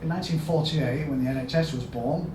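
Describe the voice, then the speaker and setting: A man with a Yorkshire accent giving a storytelling conference talk as a health service chief executive, asking the audience questions and rising to loud emphatic bursts. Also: fairly high pitch, brisk. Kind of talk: storytelling keynote speech. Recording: echoey hall, mic at a distance, background noise.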